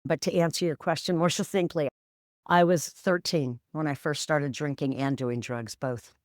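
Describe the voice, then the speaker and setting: A woman's voice: low voice, steady tone, moderate pace, darkish tone, no echo, studio quality. A woman, candidly telling her life story as a podcast guest in a matter-of-fact, wry way. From San Francisco, USA. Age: 60s. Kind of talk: reflective storytelling interview.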